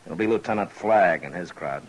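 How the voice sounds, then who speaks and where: An American actor playing a steady, plain-spoken frontier marshal in an old radio western, speaking in a measured, firm, dry way. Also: male voice, deep voice, lo-fi sound, lightly acted. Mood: dry, calm. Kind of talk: mid-century American radio drama acting. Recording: noisy old-time radio recording.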